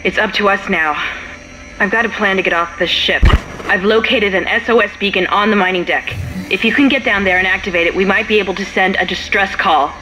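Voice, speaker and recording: An actress playing a stressed, resourceful crew member in a video game, giving urgent, businesslike instructions over a radio link. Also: female voice, mid-range voice, a little fast, sharp tone, faint music behind, lightly acted, poor audio. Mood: urgent, determined.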